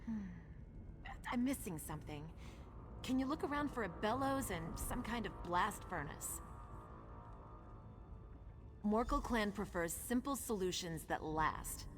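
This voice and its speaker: Actress playing an impatient orc chiefs daughter, video game, terse and dry, medium pitch, big echoey reverb, music behind. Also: female voice, lightly acted. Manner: terse, dry, thinking aloud. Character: determined, impatient orc chief's daughter hunting ancestral relics. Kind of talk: video game character dialogue.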